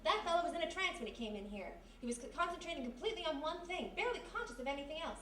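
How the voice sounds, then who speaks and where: In a roomy live space with faint noise, an actress plays a spirited girl sidekick from a radio mystery. Her voice is high and quick, with wisecracking nerve. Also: lively intonation, a bit bright, far from the mic. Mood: earnest, insistent. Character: plucky, quick-witted young female detective's sidekick. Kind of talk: live old-time radio mystery acting.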